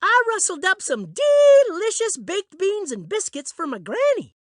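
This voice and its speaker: A woman's voice: very high-pitched, huge pitch swings, normal speed, a bit bright, dry recording, studio quality, exaggerated voice. A woman voicing a plucky little cowgirl granddaughter in a children's cartoon, folksy and exuberant with an American Western accent. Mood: cheerful. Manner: folksy, exuberant cowboy twang. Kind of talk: children's cartoon fairy tale acting.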